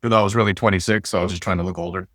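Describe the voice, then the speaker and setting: A man in his forties who grew up in Turkey and speaks Turkish as his first language, telling stories as an interview guest in a lively, animated way. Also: low voice. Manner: fast, animated storyteller. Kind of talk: casual interview storytelling.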